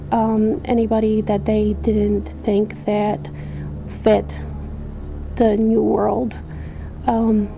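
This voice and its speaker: A woman's voice: medium pitch, gravelly, warm tone, a little hiss or hum, rough recording. A young adult woman from Poland, an army veteran, answering interview questions hesitantly with many ums and restarts.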